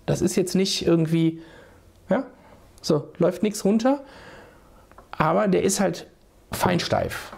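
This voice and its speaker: A man's voice: high voice, slow pace, creaky, long pauses, a little mellow, breathy edge. A man in his forties with a cold, casually explaining beekeeping to viewers in a small home room, talking to a desk webcam. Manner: chatty, restarts sentences, addresses viewers directly. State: hoarse from a cold. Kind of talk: casual how-to video.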